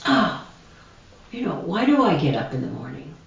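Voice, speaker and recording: A woman guest speaker explaining meditations calmly, in a slow, gentle, reflective way. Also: low voice, vocal fry. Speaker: guest speaker, shamanism teacher. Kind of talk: calm guided explanation. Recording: distant echoey dull video call audio.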